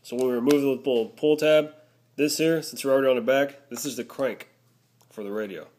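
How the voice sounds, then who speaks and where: A man's voice: medium pitch, steady tone, fairly quick, a little mellow, slight background noise. A man narrating a casual product review, explaining things step by step.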